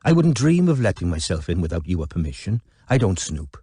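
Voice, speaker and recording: A man voicing an affectionate, cajoling Welsh woman with a deep voice in an audiobook. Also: big pitch swings, a little fast, warm tone, breathy edge, no room sound, full character voice. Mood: soothing. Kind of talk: audiobook character dialogue.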